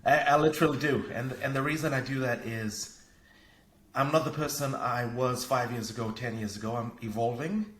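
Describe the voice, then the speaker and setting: A man from Fiji, a parenting coach and podcast guest, talking in an energetic, upbeat way. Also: mid-range voice, normal speed. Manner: energetic, upbeat, laughs easily. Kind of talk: casual conversation. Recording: echoey room.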